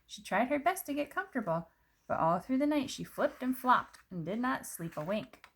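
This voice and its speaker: A woman reading a children's picture book aloud, in expressive narration with dramatic emphasis on descriptive words. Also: a little high, normal speed.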